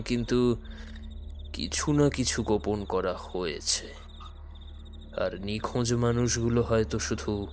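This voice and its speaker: An actor playing a calm, enigmatic detective in an audio thriller, speaking in a measured, deliberate, brooding way. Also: male voice, lowish voice. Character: calm, enigmatic male detective who works in the shadows. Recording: dramatic music under the voice.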